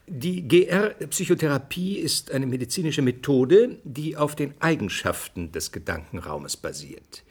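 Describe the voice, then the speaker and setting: An actor playing a confident, easygoing scientist in a radio play, with a breezy manner and husky voice. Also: male voice, medium pitch, big pitch swings, measured pace, hardly any pauses, a little mellow. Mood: assured. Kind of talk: radio play acting as an interview.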